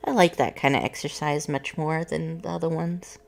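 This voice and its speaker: A woman's voice: sits low, fairly even intonation, moderate pace, gravelly. A woman, a voice student, chatting casually and explaining her practice step by step between vocal exercises.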